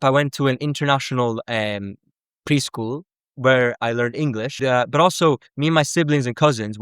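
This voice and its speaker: A man from Sweden with Azerbaijani as his native language talks in a loose, conversational way. His voice sits at a medium pitch and is recorded very dry, with almost no room sound. He explains informally, leaning on "like" and "basically.". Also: fairly bright tone, clean tone, no echo. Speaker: language-learning content creator. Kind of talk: casual explanatory talk to camera.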